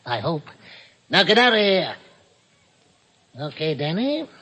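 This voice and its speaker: High-voiced, cocky stickup man. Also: big pitch swings, slow, bright, forceful tone, muffled recording, heavily acted. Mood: commanding. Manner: breezy, commanding, theatrical. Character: brash, cocky male stickup man, teasing tempter. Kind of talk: mid-century radio drama acting.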